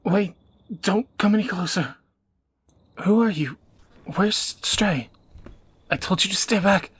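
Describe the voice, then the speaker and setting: A man's voice: sits high, takes it slowly, fairly bright tone, breathy edge, heavily acted. A man playing a tender, wry young thief in a romantic audio roleplay, teasing and intimate, often dropping toward a whisper. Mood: panicked, defiant. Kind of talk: romantic audio roleplay, one side of a dialogue.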